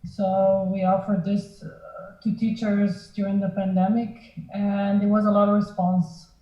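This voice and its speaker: A woman's voice: mid-range voice, level tone, a little slow. A woman giving unscripted, hesitant remarks as a panelist, searching for words as she goes. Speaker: film education panelist. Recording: echoey room, dull band-limited sound.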